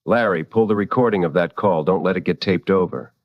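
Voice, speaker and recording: An actor playing a seasoned, protective late-night radio host in a drama, with a firm, wry and deliberate delivery. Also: male voice, low-pitched, no room sound, muffled recording. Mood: grave, deliberate.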